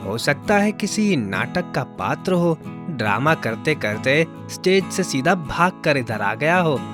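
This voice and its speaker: A man playing excitable, wary village boys in a children's folktale, exclaiming and questioning. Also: fairly high pitch, slow, music in the background. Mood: suspicious.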